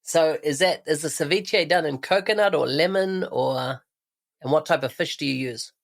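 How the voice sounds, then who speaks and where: A man's voice: on the high side, normal speed, creaky. A man hosting a podcast, asking upbeat, friendly questions. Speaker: podcast host. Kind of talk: podcast interviewing.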